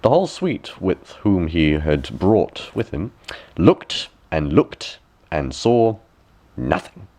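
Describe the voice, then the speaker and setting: A man reading a fairy tale aloud as an expressive audiobook narrator. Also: sits low, slow pace. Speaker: audiobook reader.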